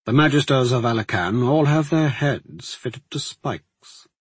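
A man voicing a smug, powerful magister in an audiobook, mockingly courteous, with a measured, sneering manner. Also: mid-range voice, animated, husky, no room sound, pitched above the performer's own voice. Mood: smug, gloating.

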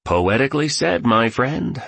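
A man voicing an eccentric, grandly encouraging billionaire mentor in an audiobook, emphatic and gravelly. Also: on the low side, animated, moderate pace, no echo, pitched above the performer's own voice, heavily acted. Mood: gleeful. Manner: emphatic, exclamatory, preaching.

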